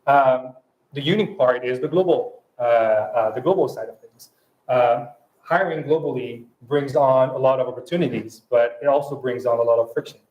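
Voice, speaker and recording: A man from France speaking English with a French accent, a guest at a fireside chat who talks in halting phrases with many restarts and fillers. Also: mid-range voice, reverberant, highs cut off. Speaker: panel guest.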